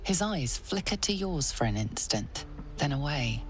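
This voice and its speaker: A woman narrating a video game as a solemn, hushed storyteller. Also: sits low, big pitch swings, a little slow, soft background music. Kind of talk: scripted second-person game narration.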